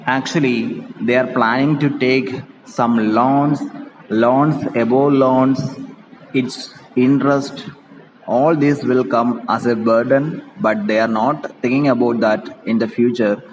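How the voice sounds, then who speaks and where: A male English teacher with an Indian accent explains a reading passage at an unhurried, deliberate pace. He rephrases points as he goes. The recording is reverberant, with clear noise behind his voice. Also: mid-range voice, fairly even intonation. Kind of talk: reading a play aloud and explaining it line by line. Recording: echoey reverberant room with background noise.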